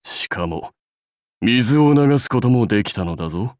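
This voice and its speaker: An actor playing a proud, seasoned samurai warlord in a game, speaking in stately, measured, archaic phrases with wry authority. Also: male voice, medium pitch, expressive, a little mellow, dry recording, studio quality, close to an everyday voice. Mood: wry, playful. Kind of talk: scripted game character lines.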